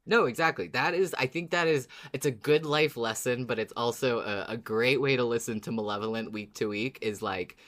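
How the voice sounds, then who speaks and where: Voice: medium pitch, moderate pace, hardly any pauses, a bit bright. A podcast co-host chatting casually in a fan recap, effusive and dramatic, sometimes feeling out a thought mid-sentence.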